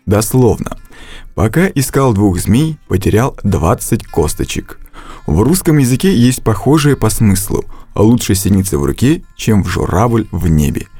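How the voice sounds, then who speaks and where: Slow, lively-pitched man narrating a lesson. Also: husky, no echo, faint music behind. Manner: slow, deliberate instructional delivery. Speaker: language course narrator.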